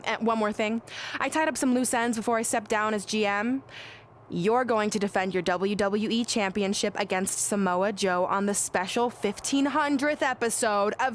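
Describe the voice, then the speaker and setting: A woman's voice: fairly high pitch, a little hiss or hum, lightly acted. Woman playing a smug, taunting wrestling boss. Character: smug, scheming female wrestling boss, breezy and self-satisfied. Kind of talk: video game cutscene acting.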